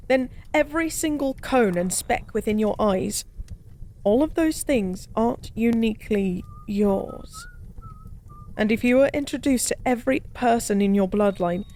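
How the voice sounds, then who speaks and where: A woman playing a forgotten goddess as a tipsy pub stranger in an intimate audio roleplay, speaking softly in slow, wistful philosophical rambles. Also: medium pitch. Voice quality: soft. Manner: slow, musing, rambling, teasingly cryptic.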